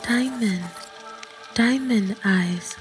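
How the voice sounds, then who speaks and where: Woman as a slow, breathy, fragile female android. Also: a little high, faint music behind. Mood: frightened, dazed. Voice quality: gentle, breathy. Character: frightened, fragile female companion android, newly awakened. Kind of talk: radio drama acting.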